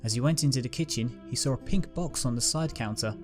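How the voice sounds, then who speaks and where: A man narrating a fan-written pony story in a calm, steady reading voice. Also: mid-range voice, fast. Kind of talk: fanfic audiobook reading. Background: guitar music.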